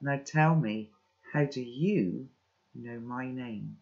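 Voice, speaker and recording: A man's voice: on the high side, lively intonation, measured pace. A man voicing a guarded, earnest boy in a story read aloud. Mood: hard, guarded. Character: guarded, earnest boy defending his friends. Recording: dull lo-fi audio.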